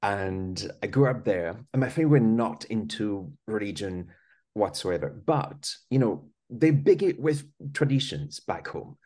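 A man's voice: on the low side, lively intonation, a little slow, darkish tone. A man from France with a French accent, a podcast guest telling his life story in an earnest, conversational way. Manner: earnest, many small restarts and "you know".

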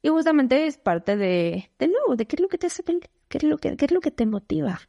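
A Mexican woman in her thirties speaks as an interview guest, her voice warm and mellow. She talks expressively, in quick bursts padded with fillers. The recording sounds dull and slightly lo-fi. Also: high-pitched, sing-song, gravelly. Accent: Mexican Spanish. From Mexico City. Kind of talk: casual conversational interview.

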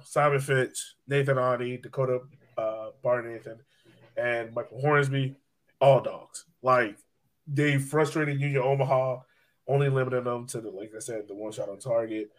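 A man hosts a casual sports podcast at an ordinary pitch. He talks conversationally, like he is chatting with friends, and peppers his sentences with "like" and "you know". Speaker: soccer podcast host.

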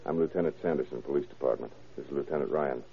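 An actor playing a calm, shrewd, fatherly American police lieutenant in a classic radio detective drama. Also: rumbling low, fast, vocal fry. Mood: firm, patient. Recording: muffled, tinny old radio broadcast.

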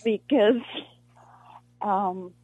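A woman from South Africa, an actress being interviewed, talking in a halting way with many restarts and fillers. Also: medium pitch, dry recording. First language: Afrikaans. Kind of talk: casual interview conversation. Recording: muffled lo-fi dry recording.

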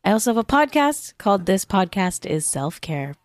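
Lively, playful woman of twenty-nine. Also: mid-range voice, dry recording. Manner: playful, quick deadpan quips. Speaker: podcast guest. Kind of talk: casual podcast chat.

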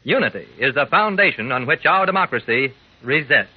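A man's voice: mid-range voice, expressive, moderate pace, heavy background noise. A man giving an earnest, folksy, emphatic public-service talk to young listeners in 1940s radio style. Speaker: radio announcer. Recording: muffled old radio recording.

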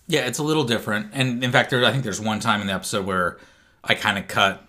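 A man's voice: on the low side, fairly even intonation, fast, sharp tone. A man co-hosting a podcast, easygoing, restarting phrases as he talks. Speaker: podcast co-host. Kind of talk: casual podcast talk.

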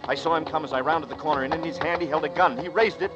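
A man's voice: fairly high pitch, fast talker, lightly acted. An actor playing a dazed man who narrates his own ordeal with tense, brooding delivery in 1940s radio drama style. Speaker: narrator and lead. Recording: muffled old radio broadcast with music.

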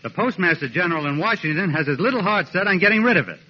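A man's voice: high-pitched, lively intonation, fast, breathy edge. An actor playing a fussy, sarcastic American mailman in a 1940s radio comedy, precise and mock-polite. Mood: fussily sarcastic. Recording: dull vintage radio recording.

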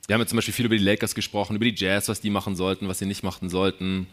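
Man, fairly low voice, colloquial, jokey, live sports podcast host. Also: flat delivery, very fast. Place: live stage show.

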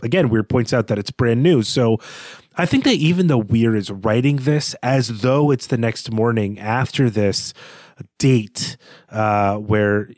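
A man's voice: medium pitch, a little slow, a little mellow, dry recording, studio quality. A man co-hosting a podcast, talking through a literary analysis in a thoughtful, hesitant, conversational way. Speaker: podcast co-host.

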